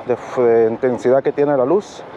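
A man vlogging while cycling at night, speaking Spanish as a learner with a halting manner and many fillers. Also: medium pitch, steady tone. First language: English. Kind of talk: casual vlog narration while cycling. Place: outdoors on a bike at night on city streets. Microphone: action camera on the bike. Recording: dull action camera audio with road noise. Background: traffic and road noise.

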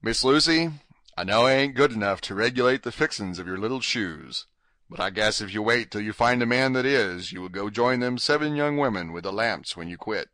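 A man's voice: mid-range voice, fairly bright tone, dry recording, voice pushed down, lightly acted. A man voicing an earnest young Texan man with a homespun, slangy, hearty manner, in a Texan accent, in an audiobook reading. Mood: pleading.

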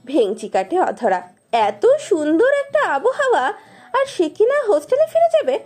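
Lady reading a romance story, mellow and very up-and-down expressive delivery, soft music behind. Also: very high voice, moderate pace. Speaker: story narrator.

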